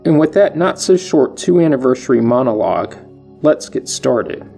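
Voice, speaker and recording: Gravelly-voiced man hosting a history podcast. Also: medium pitch, sing-song, moderate pace, warm tone, soft background music. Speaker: podcast host. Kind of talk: scripted solo podcast monologue.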